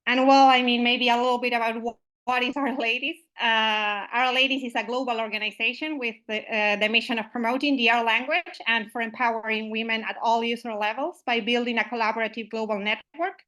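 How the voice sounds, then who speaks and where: A woman's voice: on the high side, steady tone, a little fast, fairly bright tone. A woman from Uruguay, opening an online meetup as its host, friendly and full of "uh" and "I mean" fillers, over a video call microphone.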